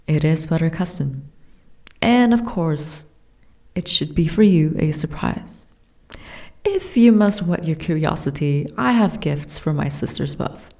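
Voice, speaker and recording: Casting: woman to play young giantess princess for fantasy ASMR. Genteel, frail, wistful; low-pitched, unhurried, softly delivered. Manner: formal, archaic, genteel phrasing.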